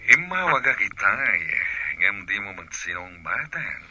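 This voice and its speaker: A man acting an insistent phone caller in a radio drama, with a raspy voice and an Ilocano accent. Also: low voice, sing-song, normal speed, pushed and bright, soft background music, full character voice.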